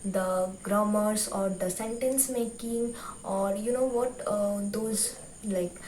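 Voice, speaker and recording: A woman's voice: mid-range voice, fairly even intonation, takes it slowly, a little mellow, soft background music. A young woman from West Bengal with a Bengali Indian accent, a native Bengali speaker, gives informal advice to viewers as a vlog host, often repeating and rephrasing herself. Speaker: YouTube host. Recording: roomy, distant mic with faint noise.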